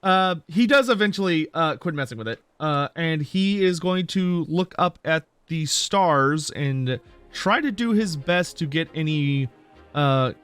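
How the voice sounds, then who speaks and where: A man playing a tabletop game, describing his character's actions in slow, deliberate narration. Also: mid-range voice, a bit bright. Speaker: player.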